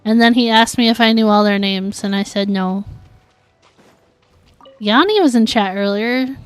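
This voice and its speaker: Higher-voiced woman chatting on a game stream. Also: measured pace, clear, resonant tone, no echo, faint music behind. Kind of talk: casual livestream chat while gaming.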